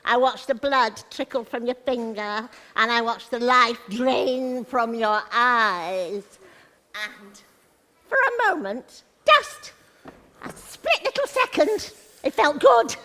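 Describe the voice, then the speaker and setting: A woman's voice: fairly high pitch, lively intonation, takes it slowly, bright, forceful tone, heavily acted. A woman playing a gloating, bitter wicked witch in live pantomime, theatrical and taunting in a reverberant theatre. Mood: menacing, taunting. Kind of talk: live pantomime stage acting. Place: indoor theatre stage. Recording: echoey theatre hall, long reverb.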